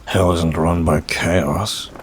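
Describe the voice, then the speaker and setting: Casting: man to play a wry demon for ASMR roleplay, deep male voice, unhurried, bored and condescending, rain behind. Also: fairly even intonation, a little hiss or hum. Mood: dry, matter-of-fact. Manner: dry, languid, drawling, faintly mocking. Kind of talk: ASMR roleplay, intimate scripted monologue.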